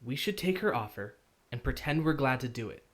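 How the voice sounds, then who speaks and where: A man's voice: medium pitch, animated, a little fast, fairly bright tone, natural voice. An actor playing an earnest, sceptical young male student hero in a visual novel, with a questioning manner. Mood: resolute.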